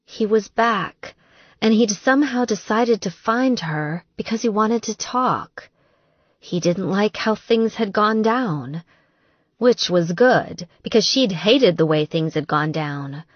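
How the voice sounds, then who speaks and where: A woman narrating a romance novel in an expressive, emotive way, as for an audiobook. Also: medium pitch, slow, no echo, muffled recording. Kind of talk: scripted romance novel narration with acted dialogue.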